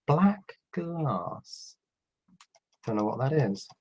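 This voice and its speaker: A man in his fifties with a cold, reading out viewer questions on a live stream and answering them in a relaxed, halting way. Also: medium pitch, breathy edge. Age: 50s. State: has a cold. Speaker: electronics YouTuber answering live chat. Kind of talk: casual live stream chat.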